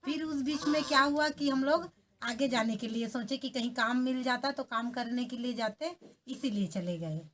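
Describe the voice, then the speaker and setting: A woman's voice: a little high, normal speed. A woman being interviewed who tells plainly and earnestly what happened to her as a migrant worker. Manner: plain, earnest storytelling with short restarts. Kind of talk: recounting personal experience in an interview.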